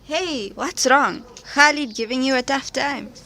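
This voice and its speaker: High-voiced casual teenage girl played by a woman. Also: measured pace, bright, forceful tone, heavily acted. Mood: casual concern. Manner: casual, slangy. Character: teenage girl, practical reassuring best friend. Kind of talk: audio drama acting.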